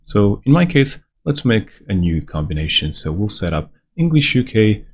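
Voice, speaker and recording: A man's voice: on the low side, very animated, normal speed. Man, tutorial presenter, reassuring step-by-step tone, faint background hiss. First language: Slovenian. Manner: conversational step-by-step guiding, reassuring. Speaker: onboarding guide presenter. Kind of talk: screen-recorded software walkthrough.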